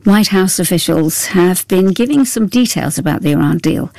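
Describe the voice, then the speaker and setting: Woman reading the news, mellow voice. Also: lowish voice, expressive, vocal fry, no room sound. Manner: measured, formal newsreading. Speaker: newsreader. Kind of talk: scripted news bulletin.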